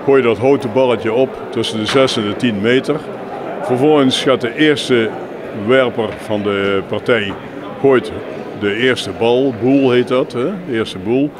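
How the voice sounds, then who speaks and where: A man explaining his sport in an informal interview, with halting, filler-strewn delivery. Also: medium pitch, crowd noise. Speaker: jeu de boules club representative being interviewed. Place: busy sports hall, on location. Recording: noisy venue with clear background ambience.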